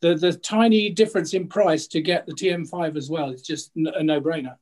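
A man's voice: fairly high pitch, brisk, a little mellow. An older man chiming in on a webcam call from home, emphatic and opinionated. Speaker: viewer asking a question. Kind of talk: video call comment. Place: home, indoors. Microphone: laptop webcam, video call.

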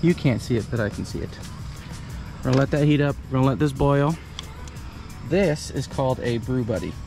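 Man in his thirties, relaxed chatty explainer, outdoors at camp, music playing underneath. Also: medium pitch, brisk, vocal fry. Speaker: vlogger. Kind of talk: casual how-to demo.

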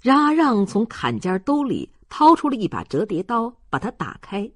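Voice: medium pitch, huge pitch swings, normal speed, dry recording. A narrator reading literary fiction aloud in a dramatic storytelling manner. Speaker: audiobook narrator.

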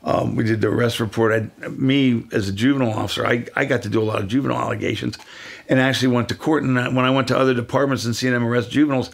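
Gravelly older man telling police stories. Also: medium pitch, rapid-fire. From Pennsylvania, USA. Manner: animated, acts out quoted voices. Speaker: retired police officer, guest. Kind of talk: storytelling in casual conversation.

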